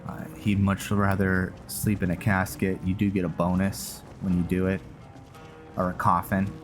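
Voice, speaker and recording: Low-voiced guy doing chill game roleplay commentary, takes his time, game music behind him. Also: monotone, moderate pace. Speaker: gaming video host. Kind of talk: casual gameplay roleplay commentary.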